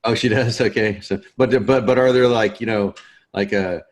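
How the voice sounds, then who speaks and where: A man's voice: on the low side, steady tone, normal speed. A man hosting a course, joking in laid-back casual chat on a video call before the lesson. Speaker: course host.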